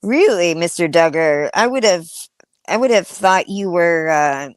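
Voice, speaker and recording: A woman's voice: fairly low pitch, animated, unhurried, clear, resonant tone, no room sound, clean studio sound. A woman co-hosting a casual chat on her phone, asking questions and giggling often. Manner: giggly, inquisitive.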